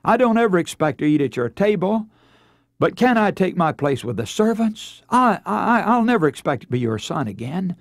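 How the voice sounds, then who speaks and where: Preacher acting a remorseful, pleading American prodigal son. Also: male voice, high-pitched, sing-song, no room sound, studio quality, pitched above the performer's own voice. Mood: humble pleading. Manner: pleading, halting, self-reproaching.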